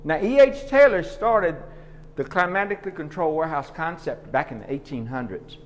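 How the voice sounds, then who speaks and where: A middle-aged man explains things in a folksy way, answering his own questions as he leads a tour. His voice sits slightly high. It is caught on a phone in a vast warehouse with a long reverb tail and faint noise. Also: lively intonation, a little fast. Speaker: distillery tour guide. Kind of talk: guided warehouse tour on a live stream. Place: large indoor barrel warehouse and walk-in cooler. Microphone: phone camera held by someone walking with him.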